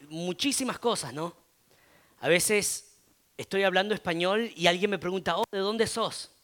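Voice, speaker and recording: A man with an Argentine Spanish accent preaching a conversational sermon as a pastor, often pausing on "eh" and asking "¿no?". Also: high voice, a bit bright. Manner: conversational, frequent filler "eh" and tag "¿no?".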